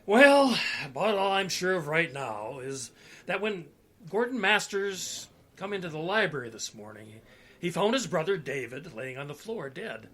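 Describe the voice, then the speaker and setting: Man playing a high-pitched, blustery folksy police detective. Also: big pitch swings, moderate pace, pushed and bright, heavily acted. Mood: folksy, guarded. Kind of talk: old-time radio drama acting.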